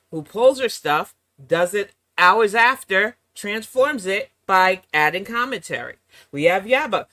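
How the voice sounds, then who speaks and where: Sassy slightly hoarse woman streamer. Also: medium pitch, fairly bright tone, dry recording. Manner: sassy, mocking asides. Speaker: reaction channel host. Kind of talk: casual live-stream chat.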